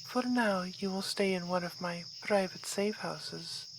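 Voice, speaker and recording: A woman's voice: lowish voice, measured pace, noisy background. A woman playing a poised, gracious vampire baroness in an ASMR roleplay, speaking in formal, courteous phrases. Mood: gracious, composed.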